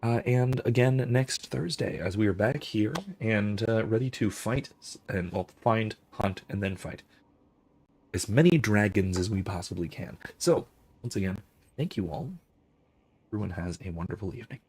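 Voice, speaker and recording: Hoarse husky guy signing off his stream, lowish voice, lots of ums. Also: a little mellow. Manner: rambling, halting, many fillers. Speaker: host. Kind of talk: casual let's-play sign-off.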